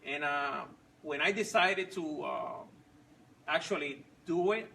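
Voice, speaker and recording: Man, 40s, non-native English, halting, gravelly, a bit far from the mic. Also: on the high side, sharp tone, a little hiss or hum. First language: not English. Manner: halting, many fillers and restarts. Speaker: fit club member sharing his story. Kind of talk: casual personal story.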